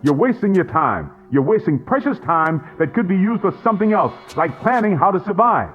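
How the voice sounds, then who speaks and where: A man's voice: high-pitched, very animated, a little fast, soft background music. Muffled, stern, stubborn man. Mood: firm, angry. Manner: stern, unyielding. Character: stubborn man refusing to open his shelter door. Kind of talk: radio drama acting.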